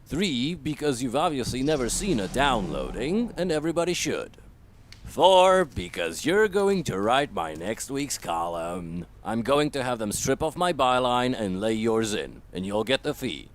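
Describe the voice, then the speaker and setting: A man's voice: mid-range voice, sing-song, a little slow, slight background noise. A man playing a brash, bossy, sardonic columnist in a voiced comic, with a commanding, worldly manner. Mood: brisk, commanding. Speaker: voice actor. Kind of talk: voiced comic book dialogue.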